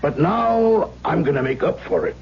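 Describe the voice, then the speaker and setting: Sorrowful older brother, animated pitch, melodramatic, vintage radio drama, dull hissy sound. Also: male voice, normal speed, vocal fry. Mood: effusive. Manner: melodramatic, plaintive. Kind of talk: old-time radio drama acting. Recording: muffled, hissy old radio drama recording.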